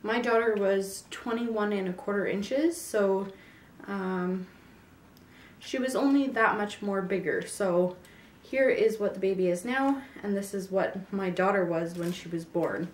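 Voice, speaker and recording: A woman's voice: mid-range voice, unhurried, slight background noise. A woman in her twenties with a raw, sore throat from a cold, chatting casually in a pregnancy update vlog with many ums. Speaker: vlogger. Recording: echoey room, mic at a distance.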